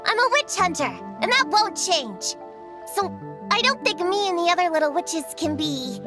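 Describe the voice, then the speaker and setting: High-pitched, earnest little witch-hunter girl. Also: lively intonation, normal speed. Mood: stubborn. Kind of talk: video game character acting. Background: background music.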